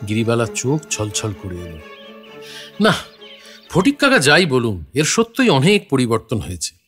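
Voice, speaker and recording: An actor playing a thoughtful, courteous gentleman writer, narrating an audio story in a reflective, literary manner. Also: medium pitch, wildly swooping pitch, normal speed. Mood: reflective, amazed. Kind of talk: scripted story narration with acted dialogue. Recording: faint music behind the voice.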